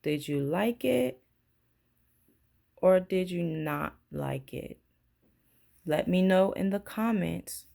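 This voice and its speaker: A woman narrates a children's storybook in a teacher's read-aloud style. Her voice is lowish and mellow, with a creaky edge. She reads slowly. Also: expressive. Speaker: teacher reading a picture book aloud.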